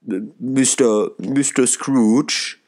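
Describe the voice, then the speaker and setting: A man voicing a stout, kindly old gentleman who falters in astonishment. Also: medium pitch, very slow. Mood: astonished.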